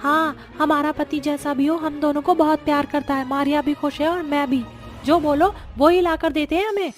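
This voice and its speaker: A woman's voice: high-pitched, steady tone, moderate pace, natural voice. A woman voicing a kind, dutiful young witch daughter in a cartoon story, speaking earnestly. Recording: music behind the voice.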